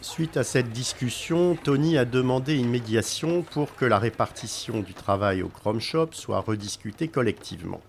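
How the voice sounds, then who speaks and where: Man playing an earnest, gravelly-voiced facilitator. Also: medium pitch, lively intonation, normal speed, few pauses, a little hiss or hum. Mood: formal, neutral. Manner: formal, procedural. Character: earnest, slightly disorganised male mediation facilitator. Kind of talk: French audio drama dialogue.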